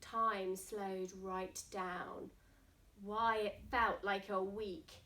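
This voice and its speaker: A woman's voice: medium pitch, little pitch movement, drawn-out words, bright, forceful tone, distant mic. A woman reading a picture book to young children theatrically, with asides and questions to her listeners. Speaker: storybook reader. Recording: echoey room.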